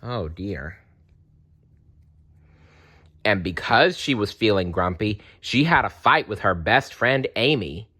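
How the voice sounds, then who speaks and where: A man's voice: on the low side, expressive, slow, long pauses, sharp tone, slightly rough. A man reading a children's storybook aloud expressively. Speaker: storybook reader.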